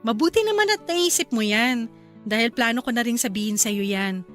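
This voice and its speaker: Woman doing a sweet young wife, high voice, polite, radio drama w soft music. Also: moderate pace, natural voice. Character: caring young wife and mother, eager host. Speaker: wife character. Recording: faint music bed behind.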